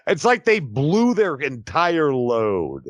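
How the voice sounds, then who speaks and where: A man's voice: on the high side, big pitch swings, slow pace, dry recording. A man co-hosting a podcast in casual comedic chat, with crude, wry riffing. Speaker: podcast co-host.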